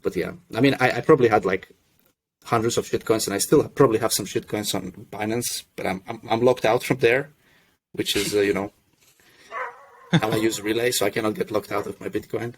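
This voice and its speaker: A young man from Russia who grew up in Finland, a podcast guest telling his story in a relaxed, self-deprecating way with many restarts. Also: fairly low pitch, brisk. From Russia, raised in Finland. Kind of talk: casual podcast chat.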